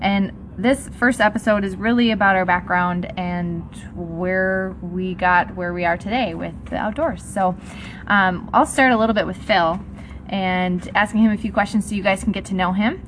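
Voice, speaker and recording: Junge Frau als Podcast-Moderatorin. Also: female voice, mid-range voice, steady tone, normal speed, slight background noise. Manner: laughs often, asks questions. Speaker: podcast host. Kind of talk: casual interview chat.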